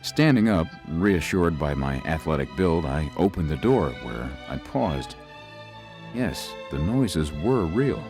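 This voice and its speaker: An actor playing a young male lawyer who narrates an eerie tale in a literary, measured, dramatic way. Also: a bass voice, animated, music in the background, heavily acted. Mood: uneasy. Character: young lawyer narrating his eerie stay, uneasy, athletic man. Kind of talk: scripted first-person ghost story narration.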